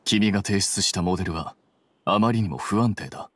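An actor playing a coolly rational young scholar in a video game, explaining things in a measured, detached way. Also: male voice, fairly low pitch, fairly bright tone, no echo. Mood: cool, composed. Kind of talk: Japanese video game voice acting.

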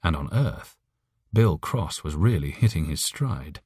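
A man's voice: a bass voice, animated, measured pace, a little mellow, husky, dry recording, clean studio sound. A man reading a short story aloud as an audiobook narrator, in scripted narration.

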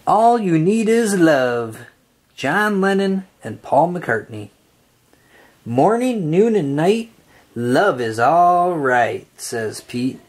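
A storybook reader reading a children's picture book aloud in an expressive, sing-song way with drawn-out phrases. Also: medium pitch.

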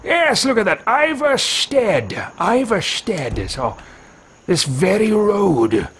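A man performs a self-important fantasy mage from a video game role-play, muttering to himself in theatrical style. His voice is bright and forceful and swings widely in pitch. A light bed of noise sits behind him. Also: heavily acted. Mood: grandiose. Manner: theatrical, grandiose, muttering with hesitations. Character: pompous, scheming male mage who talks to himself. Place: small indoor room with shelves.

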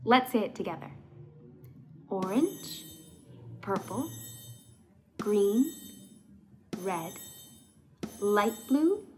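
A young woman storyteller reading aloud for young children in an animated, carefully enunciated way that invites them to join in. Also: medium pitch, drawn-out words, pauses often, music in the background. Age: young adult. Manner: animated, enunciating, encouraging participation.

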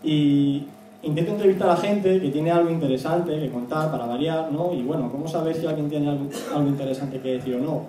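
A man's voice: on the high side, fairly even intonation, a little fast, a crowd in the background. A man in his thirties from Spain giving a conversational public talk into a handheld mic, often tagging phrases with a questioning "no?". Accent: Spanish from Spain. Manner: conversational, frequent "¿no?" tags. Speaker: guest speaker, YouTube analyst. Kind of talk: semi-prepared public talk. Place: small indoor meeting room. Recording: echoey room, distant mic, faint noise.

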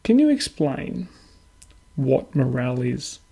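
A man hosting a history podcast, asking questions haltingly with many fillers and dry asides. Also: medium pitch, sing-song, gravelly, warm tone, slightly rough. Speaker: podcast host. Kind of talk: interviewing.

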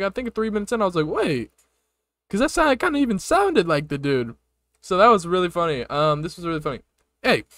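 A man's voice: high voice, no room sound. A young man giving casual, slangy reaction commentary into a close desktop microphone. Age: young adult. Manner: slangy, animated, exclaiming. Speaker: reaction video host. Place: small indoor room at a desk. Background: game video audio and music.

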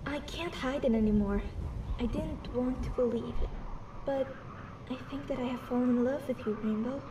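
A woman's voice: high-pitched, moderate pace, lightly acted. A woman voicing a shy, flustered young female pony princess in a fan fiction audio drama, hesitant and anxious. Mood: confessing. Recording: roomy, distant, noisy home recording.

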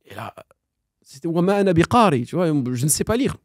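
A man's voice: a little high, brisk, dry recording, clean studio sound. A man in his thirties, a podcast guest, telling a personal story in a casual conversation, acting out the dialogue and mixing in Arabic phrases. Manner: animated storyteller, acts out quoted dialogue, sprinkles in Arabic phrases.

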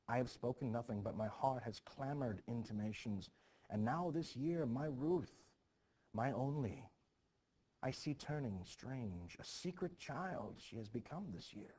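A man's voice: medium pitch, warm tone, slightly rough. A man reading the part of a grieving, superstitious village mother in a stage play, ominous and intense, in archaic phrasing.

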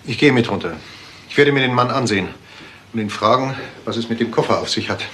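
A man's voice: mid-range voice, quick pace, a bit bright, slight background noise, lightly acted. An actor playing a suspicious, worried middle-aged father in a German crime radio play, stern and insistent. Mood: determined. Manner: agitated, insistent.

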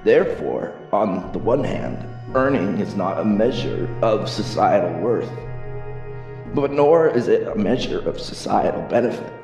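A man playing a cold, domineering boss in a sci-fi audio drama, speaking with deliberate authority. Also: fairly low pitch, animated, darkish tone. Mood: cold, lecturing. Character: cold, domineering male boss of future world. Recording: distant, echoey hall, faint music.

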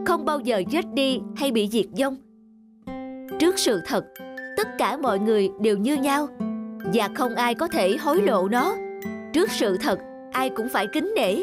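A woman's voice: on the high side, lively intonation. Woman as earnest palace guard, music behind. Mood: solemn. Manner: formal, measured, reverent. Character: earnest, wise, respectful young male palace guard. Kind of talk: animated folktale acting. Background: background music.

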